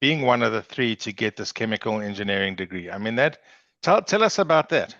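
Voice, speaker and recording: A man's voice: fairly low pitch, animated, brisk, fairly bright tone, dry recording. A man hosting a casual interview podcast, effusive and often restarting his questions. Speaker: podcast host. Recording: dull lo-fi recording.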